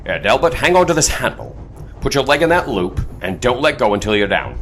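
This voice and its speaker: An actor playing a courteous, confident leader of secret agents in an adventure audio drama, speaking in a genteel, theatrical way. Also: male voice, mid-range voice, very animated, brisk, fairly bright tone, a little hiss or hum. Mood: brisk, assured.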